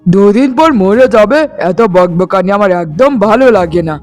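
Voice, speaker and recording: A man's voice: high voice, expressive, moderate pace, faint music behind. A man voicing an irritable, selfish grown son and neglectful husband in a cartoon, impatient and grumbling. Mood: annoyed, defensive. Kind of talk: cartoon voice acting in a moral story.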